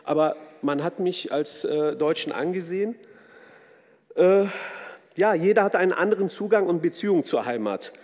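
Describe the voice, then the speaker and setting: A man's voice: sits high, animated, moderate pace, darkish tone. A man from a Kurdish background raised in Germany giving a formal, earnest talk with frequent hesitations. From Kurdish from Turkey, raised in Germany. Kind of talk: formal speech with fillers. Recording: long hall reverb.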